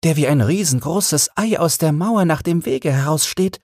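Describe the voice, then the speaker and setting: A man's voice: a little high, expressive, moderate pace, darkish tone, dry recording, clean studio sound, voice pushed up. A man voicing a sweet young fairy girl full of wonder in a storybook, with a lilting delivery. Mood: bright wonder.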